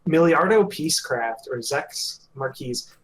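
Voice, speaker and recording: A man's voice: on the high side, lively intonation, measured pace, a little hiss or hum. A man co-hosting a podcast in casual group chat, joking and teasing. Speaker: podcast co-host.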